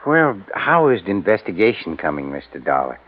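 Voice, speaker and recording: A man's voice: deep voice, big pitch swings, brisk. An actor playing a polite, precise male private secretary in a 1950s radio drama, deferential and formal. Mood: courteous, probing. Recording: tinny, faintly noisy old radio recording.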